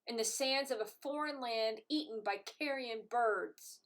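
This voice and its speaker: A woman's voice: on the high side, unhurried. A woman playing an accusing dead daughter in a dramatic play reading. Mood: mournful, accusing. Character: dead soldier daughter, accusing her father. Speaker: amateur actor.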